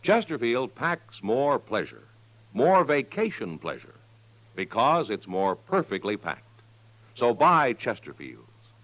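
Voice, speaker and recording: A man's voice: medium pitch, very animated, slow, a bit bright, dry recording, low-quality recording. A man announcing and advertising on 1950s radio with a polished, emphatic, scripted delivery. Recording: vintage radio recording.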